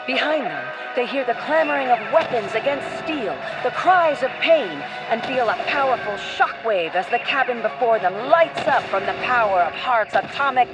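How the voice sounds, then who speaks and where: A woman's voice: fairly high pitch, low-quality recording. A woman reading scripted, dramatic story narration as the narrator of a radio play.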